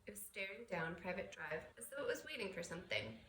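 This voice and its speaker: A woman giving an expressive read-aloud narration of a children's novel. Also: mid-range voice, quick pace. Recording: roomy echo with faint background noise.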